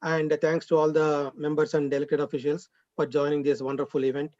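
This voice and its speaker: A man's voice: a little high, little pitch movement, brisk, mellow, no room sound. A man, a school director giving a hesitant event speech over a video call. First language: Telugu. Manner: hesitant, many fillers. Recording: dull, dry video call audio.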